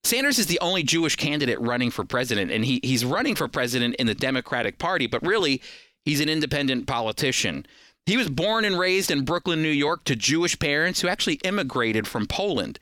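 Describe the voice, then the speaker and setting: A man's voice: a little high, sing-song, quick pace, sharp tone, no room sound, clean studio sound. A man hosting a program, giving scripted political commentary to listeners in an emphatic, explanatory manner.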